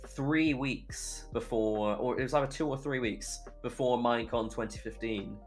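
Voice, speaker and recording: A man's voice: mid-range voice, normal speed, soft background music. A young British man telling stories casually as a podcast guest, chatty and rambling as he goes. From United Kingdom. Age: young adult. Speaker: podcast guest, YouTuber and streamer.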